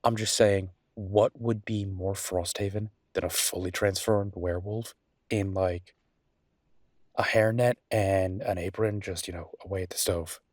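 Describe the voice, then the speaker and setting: A man playing an affectionate, slightly grumbling chef boyfriend in an intimate audio roleplay, with a husky voice and a casual, teasing, halting way of talking. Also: low-pitched, fairly even intonation, dry recording, clean studio sound. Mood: playful, teasing.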